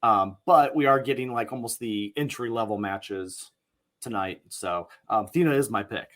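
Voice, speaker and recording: A man co-hosting a casual wrestling podcast, measured in his speech, with dry sarcastic humor and frequent "um"s. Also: fairly low pitch, a bit bright. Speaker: podcast co-host.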